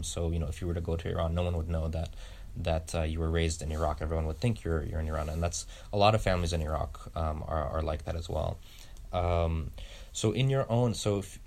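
Very low-voiced guy interviewing, rambly long questions, lots of ums. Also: a little mellow. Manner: constant murmured backchannel, long winding questions. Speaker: interviewer, researcher. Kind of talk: oral history interview.

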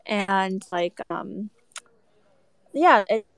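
A woman's voice: medium pitch, expressive, very slow, fairly bright tone, smooth, dry recording, studio quality. A young woman from the United States sharing her experience on a video-call panel, casual with many "like" and "um" fillers. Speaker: panelist, activist. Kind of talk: panel discussion.

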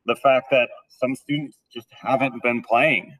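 A man's voice: medium pitch, steady tone, measured pace, fairly bright tone. A man in his thirties, a band teacher, talks through his methods in a casual podcast chat. His voice is slightly nasal, and he often stumbles and repeats words as he gets going. He sits a little away from the microphone, so he sounds somewhat distant. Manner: hesitant, repeats words when starting sentences. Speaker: school band director.

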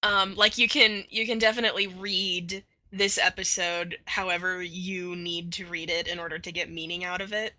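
Very bright-voiced woman chatting informally. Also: on the low side, flat delivery, moderate pace, few pauses. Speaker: podcast panelist. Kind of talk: casual chat.

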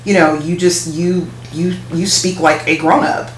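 A woman's voice: lowish voice, fairly even intonation, measured pace, reverberant, slight background noise, rough recording. A woman in her forties co-hosting a casual podcast chat, agreeing readily and speaking frankly. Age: 40s. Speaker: podcast co-host.

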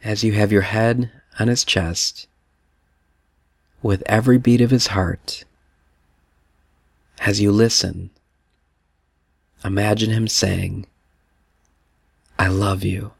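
A man's voice: fairly low pitch, steady tone, takes it slowly, creaky, long pauses, no room sound. A man leading a guided prayer meditation, speaking soothingly to the listener in the second person.

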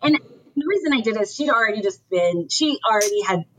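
A woman's voice: medium pitch, expressive, brisk, husky, rough recording. A woman from Ohio in casual conversation, telling personal stories with animation and acting out the people she quotes. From Ohio, USA.

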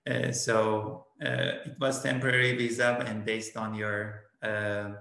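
A man with a Turkish accent, a principal answering interview questions slowly, pausing on many fillers. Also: fairly low pitch, level tone, creaky, pushed and bright, reverberant. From Turkey. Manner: slow, halting, many "uh" fillers. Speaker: school principal.

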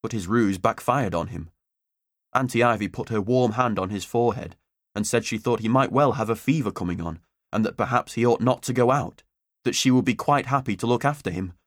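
A man's voice: mid-range voice, brisk, dry recording, studio quality. A man reading a story aloud as an audiobook narrator, in a scripted storytelling style.

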